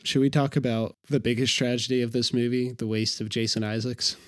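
A man's voice: mid-range voice, fairly even intonation, fairly quick. A man co-hosting a casual film discussion, analytical and opinionated as he talks. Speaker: movie discussion co-host. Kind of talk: casual film review chat.

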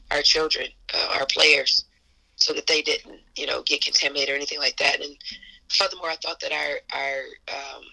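A hoarse woman, an assistant basketball coach, answering interview questions earnestly and repeating phrases for emphasis. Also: sits low, sharp tone, low-quality recording.

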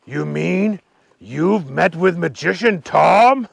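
A man voicing a big, gentle, forgetful dragon in a children's story, slow and drawn-out with booming exclamations. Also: lively intonation, gravelly, no echo, heavily acted. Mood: puzzled.

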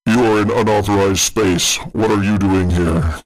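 A man voicing a hunched, armored insectoid stranger, gruff, terse and menacing, in a tabletop game. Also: lowish voice, steady tone, moderate pace, pitched below the performer's own voice, poor audio. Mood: stern challenge. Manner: terse, commanding. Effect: speaks through a helmet speaker, as described. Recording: clear background noise with clicks.

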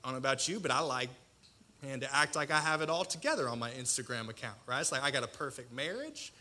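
Bright-voiced male preacher with a slight stutter. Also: mid-range voice, animated. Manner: colloquial, self-deprecating, dramatic pauses and emphasis. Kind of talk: personal testimony sermon to an audience.

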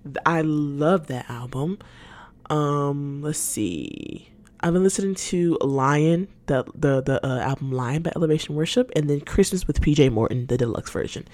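A woman's voice: deep voice, expressive, moderate pace, a little mellow. A nineteen-year-old woman chatting candidly on her own podcast, laughing easily and often trailing off and starting sentences over. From Atlanta, Georgia. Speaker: podcast host. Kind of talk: casual solo podcast chat.

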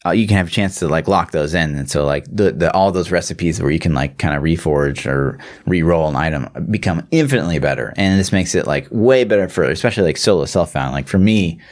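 A man's voice: low voice, brisk, few pauses. A man co-hosting a podcast, explaining things in a rambling, slangy, casual way with some swearing. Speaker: podcast co-host. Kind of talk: casual gaming chat.

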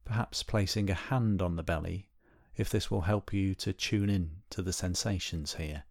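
A man gently leading a guided body scan meditation, giving unhurried instructions with pauses. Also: low-pitched, creaky, no echo, studio quality.

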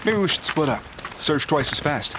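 Wisecracking, animated American adventurer played by a man. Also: a little high, moderate pace, noisy background. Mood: playful. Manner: joking, sarcastic, casual. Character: Nate, wisecracking male adventurer, boyish and teasing. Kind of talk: audio adventure drama dialogue.

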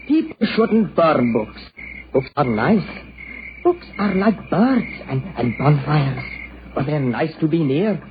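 High-voiced, childlike simpleton. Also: male voice, big pitch swings. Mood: dreamy, friendly. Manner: dreamy, naive, halting childish talk. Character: childlike simple-minded man who loves birds and bonfires. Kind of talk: old-time radio acting. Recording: muffled old radio recording, hissy.

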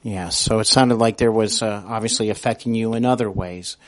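A man hosting a conversational interview, feeling his way through questions with halting, repeated starts. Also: on the low side, fairly even intonation. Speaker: podcast host, interviewer.

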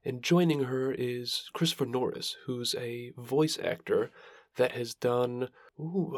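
A man hosting a podcast, introducing and interviewing guests with a creaky voice. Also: mid-range voice, dry recording, studio quality. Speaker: podcast host.

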